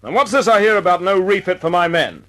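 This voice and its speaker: An actor playing a brisk, commanding wartime air force squadron leader in an old-time radio drama, speaking in a clipped, authoritative way. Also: male voice, high-pitched, expressive, fairly bright tone. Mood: angry, forceful. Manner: clipped, authoritative, informal with his men. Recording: noisy old radio recording.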